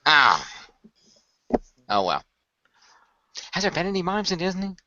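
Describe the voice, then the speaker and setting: A man with a bright, forceful voice hosts and narrates a fan-made radio play. He speaks casually into a dry, slightly dull home recording. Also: high-pitched, huge pitch swings, a little slow, no echo. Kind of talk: casual hosting and story narration.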